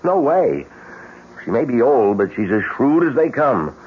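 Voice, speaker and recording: A man's voice: medium pitch, big pitch swings, unhurried. An actor playing a brash, skeptical young man, sarcastic and slangy, in a 1970s radio drama. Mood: scornful. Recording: dull, hissy 1970s radio recording.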